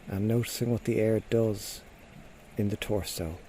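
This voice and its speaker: Mellow-voiced man guiding meditation. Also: fairly low pitch, fairly even intonation, a little hiss or hum. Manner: gentle, unhurried instruction with frequent pauses. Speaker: mindfulness meditation guide. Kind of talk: guided body scan meditation. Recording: faint outdoor nature sounds behind. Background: birds and nature sounds.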